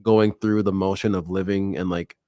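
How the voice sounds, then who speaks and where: A man's voice: low-pitched, flat delivery, normal speed, warm tone, no echo, studio quality. A young man co-hosting a podcast, talking bluntly and laid-back in casual chat. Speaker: podcast co-host.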